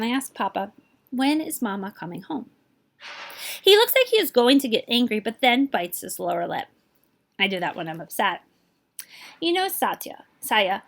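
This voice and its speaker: Lively, high-voiced woman reading a storybook aloud. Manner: animated, pauses to address young listeners. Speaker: librarian reading a picture book aloud. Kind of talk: expressive children's read-aloud with asides to listeners.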